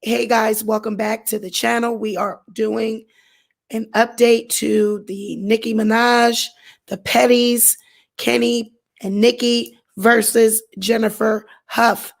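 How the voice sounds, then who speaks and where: A woman hosting her own commentary channel, speaking with a hoarse voice and a chatty manner full of "you know" and "um" fillers. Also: on the high side, level tone, slow. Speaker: channel host. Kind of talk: casual commentary vlog.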